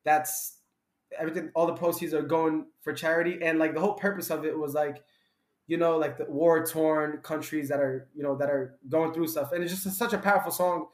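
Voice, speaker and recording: Male host, excitable and gushing, quick talker, a bit high, roomy mic. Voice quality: excitable, gushing, repeats himself. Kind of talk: casual interview chat.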